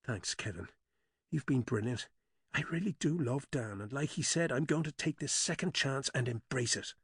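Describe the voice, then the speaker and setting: Soft, hesitant widow played by a male reader; quiet and slightly husky, dry studio. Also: mid-range voice, animated, moderate pace, no echo. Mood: tearful. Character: anxious woman, a widow about to remarry.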